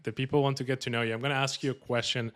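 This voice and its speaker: A man's voice: medium pitch, level tone, rapid-fire, a bit bright. A man from Colombia with a Colombian Spanish accent, hosting a podcast in an upbeat, joking, casual way with many fillers. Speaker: podcast host.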